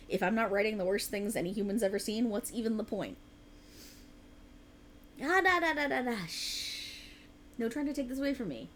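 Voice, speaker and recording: Casting: woman as a tired writer girlfriend for ASMR roleplay, lively swooping pitch, earnest, playfully defensive, faint noise behind. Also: lightly acted. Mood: playful, teasing. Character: tired, rambling, passionate young woman writer, teasing girlfriend. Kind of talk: ASMR girlfriend roleplay, intimate conversational acting.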